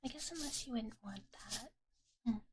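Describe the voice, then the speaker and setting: Female ASMR streamer: hushed whispering, slightly high voice, halting casual chat, close mic with noticeable background noise. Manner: speaks of herself in third person as a dragon character; soft, hushed, halting delivery. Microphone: close ASMR microphone.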